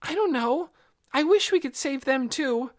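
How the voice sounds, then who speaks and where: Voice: high voice, animated, measured pace, pitched above the performer's own voice. A narrator voicing an eager, anxious young girl in an audiobook, urgent and pleading.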